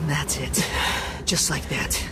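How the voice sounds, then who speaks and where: An actor playing a menacing, theatrical villain who gloats and goads in an anime game. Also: male voice, on the high side, steady tone, slow pace, vocal fry, heavy background noise. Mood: gloating menace. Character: menacing villain goading his victim into rage. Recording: game capture under loud music.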